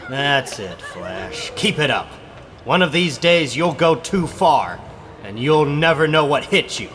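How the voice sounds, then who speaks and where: A man playing a secretive, put-upon teenage boy with quiet resentment, guarded and hesitant in a comic book dramatic reading. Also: on the high side, expressive, unhurried, a faint crowd, slight background noise. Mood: simmering.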